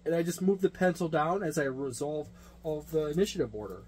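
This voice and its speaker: A man's voice: on the high side, fast talker, a little mellow. A man giving a casual, unscripted hobby review and explanation, rambling and correcting himself with emphatic delivery. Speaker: tabletop gaming reviewer and game master. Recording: noticeable background noise with hum.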